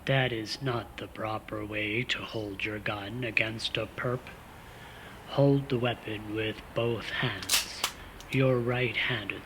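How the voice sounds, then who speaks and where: Man as a slow-talking, exasperated spymaster, noisy recording. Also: mid-range voice. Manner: slow, deliberate, exasperated, sardonic. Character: stern, exasperated male spymaster, dry and commanding. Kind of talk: comic radio theater acting.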